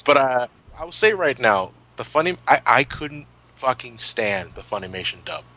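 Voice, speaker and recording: Bright-voiced man, halting and sweary. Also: medium pitch, big pitch swings. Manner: halting, repeats words, sweary. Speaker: podcast commentator. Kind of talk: casual retrospective chat, reminiscing.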